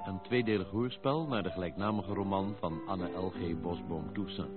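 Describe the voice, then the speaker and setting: Deep-voiced man announcing over loud guitar. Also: moderate pace. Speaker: radio play announcer. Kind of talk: scripted title announcement. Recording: muffled recording under loud guitar music. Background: loud plucked guitar music.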